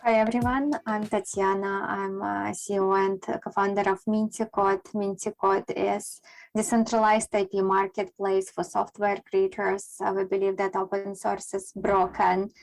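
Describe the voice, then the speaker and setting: Woman, mid-pitched, flat intonation, prepared startup founder pitch. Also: normal speed, gravelly, soft background music, poor audio. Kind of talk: prepared self-introduction pitch.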